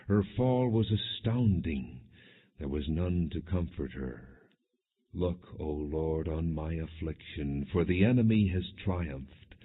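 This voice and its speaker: Tinny phone-like audio, low husky guy slowly reading bible verses, solemn and measured. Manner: measured, deliberate phrasing. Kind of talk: solemn scripted scripture reading. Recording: muffled, phone-like narrow-band audio.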